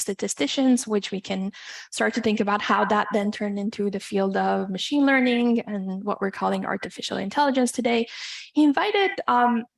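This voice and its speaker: A woman from Iran speaks as a guest lecturer presenting research. Her voice sits fairly high and often drops into strong creak. She goes in a measured, hesitant way, with frequent pauses as she picks her phrasing. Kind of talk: academic lecture with slides.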